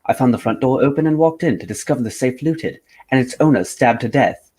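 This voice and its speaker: An actor playing a cool, composed gentleman detective, formal and unruffled, in old-time radio drama style. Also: medium pitch, expressive, brisk, husky. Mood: composed explaining.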